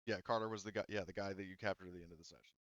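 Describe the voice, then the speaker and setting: A man's voice: low voice, steady tone, very fast, a bit bright, no echo, dull audio. A man casually narrating a tabletop roleplaying game as game master, rambling and often rephrasing himself mid-sentence.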